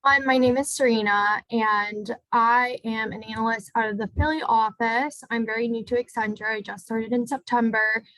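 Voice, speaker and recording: A woman's voice: a little high, steady tone, moderate pace, few pauses, a bit bright, dry recording, low-quality recording. A woman in her twenties from upstate New York introducing herself as a panelist in a straightforward way. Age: 20s.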